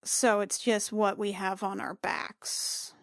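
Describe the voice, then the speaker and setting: A woman's voice: mid-range voice, flat delivery, takes it slowly, vocal fry, no echo. A woman playing an anxious, sardonic adventurer in a tabletop game, dry and easily flustered. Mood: worried, demanding.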